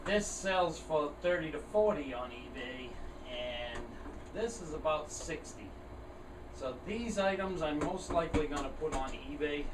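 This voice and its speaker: A man chatting plainly and casually, as in a rambling hobby show-and-tell video. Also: fairly high pitch. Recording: roomy, distant mic, clear air-conditioner noise. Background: air conditioner running.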